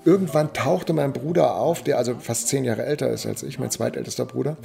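A man's voice: mid-range voice, brisk, gravelly, mellow, faint music behind. A man telling personal memories in an interview, anecdotally, correcting himself as he goes. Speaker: theatre dramaturg, interviewee.

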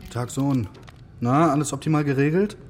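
A middle-aged man playing an upbeat technocratic father in a children's radio play, brisk and a little pompous, with formal wording. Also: mid-range voice, natural voice. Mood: enthusiastic, pompous.

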